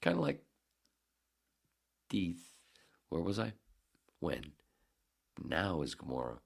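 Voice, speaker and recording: A man's voice: low voice, huge pitch swings, slow, creaky. A man hosting a solo podcast, talking in a rambling, profane, self-deprecating way. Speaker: podcast host. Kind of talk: casual solo podcast monologue.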